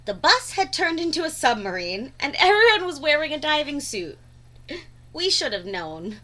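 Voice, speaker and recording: A woman reading a storybook aloud to children in an expressive, dramatic way, stretching words for emphasis. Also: sits high, moderate pace.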